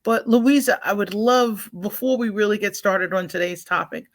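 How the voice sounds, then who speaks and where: A woman's voice: medium pitch, normal speed. A woman hosting a live online talk show, greeting viewers and introducing her guest with effusive enthusiasm. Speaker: host.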